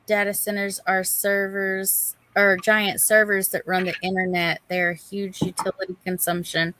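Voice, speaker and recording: A woman's voice: medium pitch, little pitch movement, measured pace, pushed and bright, slight background noise, low-quality recording. A woman co-hosting a casual livestream, chatting informally with viewers as she reads their comments. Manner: chatty, addresses and greets viewers by name. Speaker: livestream co-host reading and answering viewer chat.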